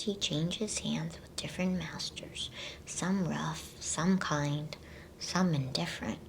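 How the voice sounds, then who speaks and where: A woman whispering softly in a soothing, unhurried way, as an ASMR host reading bedtime stories and chatting to listeners. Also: on the low side, a little hiss or hum, poor audio. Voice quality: breathy whisper.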